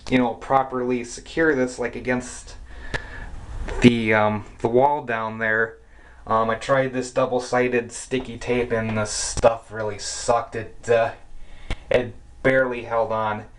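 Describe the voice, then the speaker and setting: Halting man over clearly noisy audio. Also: medium pitch. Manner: halting, hesitant, rambling. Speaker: hobbyist vlogger. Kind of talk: casual handheld show-and-tell narration. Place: around a house, basement and outdoors. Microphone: handheld camera microphone. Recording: noisy camcorder audio, steady background noise.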